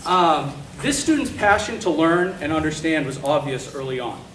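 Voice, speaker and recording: A man's voice: fairly high pitch, lively intonation, fairly bright tone, slight background noise. Earnest male teacher at a school assembly. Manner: earnest, measured, with filler ums. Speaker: teacher presenting an award. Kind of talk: prepared award speech at a school assembly. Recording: echoey hall, far from the mic.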